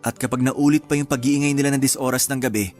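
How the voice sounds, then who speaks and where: A laid-back young husband in a radio drama, voiced by a man. His mid-range voice is calm and easygoing, and he reassures his wife gently. Soft music plays faintly behind him. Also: mid-range voice, quick pace, natural voice. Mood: reassuring.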